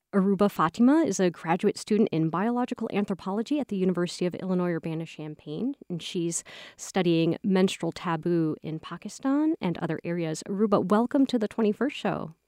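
A woman hosts a radio interview, her voice sitting low and warm. The sound is dry and close, with no room around it. She asks her questions in a clear, measured way. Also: no echo. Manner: clear, measured, scripted introductions. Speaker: radio host.

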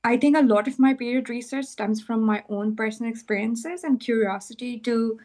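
A young woman from Pakistan answers interview questions with a slightly high voice. She speaks thoughtfully, pausing and restarting as she works through her ideas. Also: darkish tone. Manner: thoughtful, hesitant, many fillers and restarts. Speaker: graduate student researcher.

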